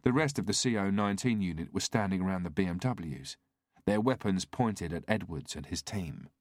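A man's voice: sits low, expressive, moderate pace, no echo, studio quality. A man reading a scripted thriller as an audiobook narrator.

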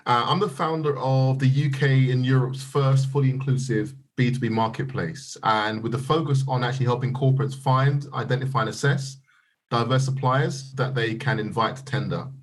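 A middle-aged man with a British accent on a video call. His voice sits at an average pitch. He speaks genially, in a businesslike way. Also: fairly even intonation, moderate pace. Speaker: guest, company founder.

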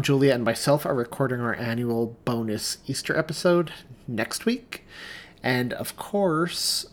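A man in his thirties hosting a podcast, giving upbeat announcements in an enthusiastic, gushing, folksy way. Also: medium pitch. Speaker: podcast host.

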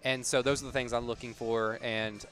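A man giving measured, analytical commentary in a casual sports discussion as a podcast panelist. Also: medium pitch, flat delivery, fairly bright tone, faint music behind.

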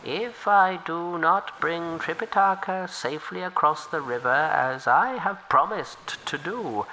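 A man's voice: on the high side, measured pace, slight background noise, voice pushed up, rough recording. A male storyteller voicing a huge, grateful old river turtle who speaks humbly and earnestly. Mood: humble, earnest. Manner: humble, soft-spoken, earnest.

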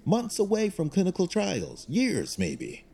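Mellow, husky, fatherly executive acted by a man. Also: sits high, huge pitch swings, a little slow, exaggerated voice. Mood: uneasy. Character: Lucius, fatherly older executive, shrewd loyal advisor. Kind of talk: audio drama acting.

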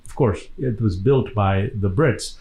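A man in his sixties from Tanzania, an interview guest, telling personal stories conversationally with reflective, hesitant pauses. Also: on the low side, vocal fry, a little mellow. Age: 60s.

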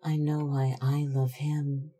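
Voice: mid-range voice, little pitch movement, slow pace, dark tone, husky, pitched above the performer's own voice. A narrator voicing a starry-eyed young actress, breathless and theatrical, in an audiobook. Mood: fervent, yearning. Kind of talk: audiobook character acting.

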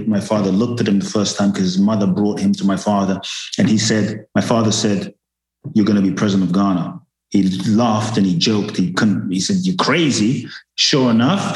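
Man, grew up in London, interview guest, emphatic storyteller, lowish voice, echoey room. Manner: animated, emphatic, rising to sudden high peaks. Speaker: guest speaker. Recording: roomy echo.

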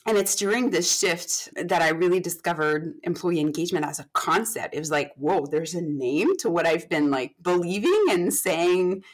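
A woman's voice: fairly low pitch, expressive, moderate pace, few pauses. Chatty woman, late thirties. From Canada. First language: French. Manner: chatty, self-deprecating, many fillers and restarts. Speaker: guest, HR expert. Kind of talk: casual podcast chat.

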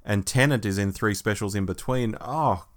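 A man's voice: on the low side, moderate pace. A man co-hosting a podcast in a casual, conversational fan discussion. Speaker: podcast co-host.

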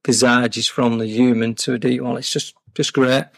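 Creaky-voiced man chatting casually. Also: mid-range voice, level tone, moderate pace, dry recording. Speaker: podcast guest, game collector. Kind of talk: casual interview chat about collecting.

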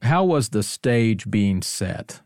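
A middle-aged or older man with a fairly low voice hosts a conversational interview. He is chatty and prompts with questions, and the sound around his voice is very dry. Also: big pitch swings, slow pace, vocal fry, darkish tone, no room sound. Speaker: interview host.

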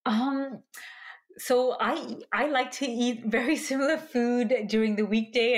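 A middle-aged woman born in Malaysia, a psychologist speaking in a measured, explanatory way as a podcast guest. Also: medium pitch. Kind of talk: podcast interview.

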